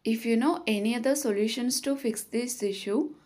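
A woman reading scripted step-by-step tutorial narration in a measured, instructional manner. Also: a little high, darkish tone.

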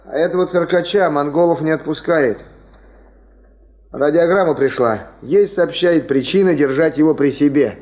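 An actor playing an experienced, good-natured male field hand in a 1970s radio drama, folksy and unhurried. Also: on the high side, a little mellow, lightly acted. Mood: good-natured, practical.

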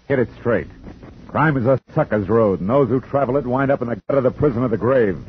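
An actor playing a hard-boiled private detective who narrates with dry wisecracks, in a 1950 radio drama. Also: male voice, mid-range voice, slight background noise, close to an everyday voice. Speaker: narrator and lead. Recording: dull old radio recording with music.

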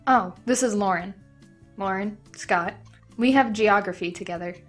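A woman's voice: medium pitch, normal speed, faint music behind, natural voice. A woman voicing a dry, teasing, friendly teenage schoolgirl in a comic dub.

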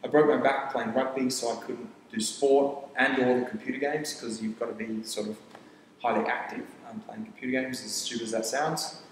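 A young man talks loosely through his business in a roomy studio, the echo ringing out with a long reverb tail. He jumps between lists and restarts phrases as he goes, and a faint hiss sits under his mid-pitched voice. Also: flat delivery. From Papua New Guinea. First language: Tok Pisin. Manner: rambling, restarts phrases, lists ideas rapidly. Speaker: startup founder. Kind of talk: casual interview talk. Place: studio set with armchairs. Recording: echoey room with long reverb.

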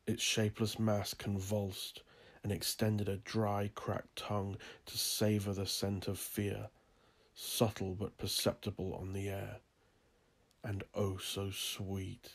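A man narrating a dark horror story in a brooding, deliberate manner. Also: lowish voice, completely flat delivery. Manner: slow, brooding, deliberate delivery.

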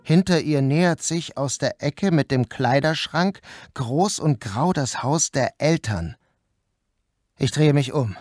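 A man's voice: medium pitch, a little mellow, no room sound. An actor playing a troubled man who narrates his dreamlike ordeal in a radio play. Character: troubled male first-person narrator recounting a dreamlike ordeal. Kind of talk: first-person audio drama narration.

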